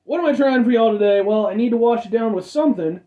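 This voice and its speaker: A man's voice: very high-pitched, animated, fast talker, recorded from a distance, phone-quality sound. A man in his thirties hosting a casual soda review show, rambling with chatty asides and a slightly stuffed-up nose. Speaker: food review show host.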